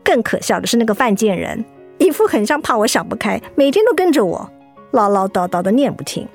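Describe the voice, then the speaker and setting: A feisty young Taiwanese woman in a radio drama, with a high voice over music. She scolds and nags in a blunt, exasperated way, though she is soft underneath. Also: big pitch swings, a little fast. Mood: nagging. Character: feisty, scolding, softhearted young woman, hurt best friend. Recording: music behind the voice.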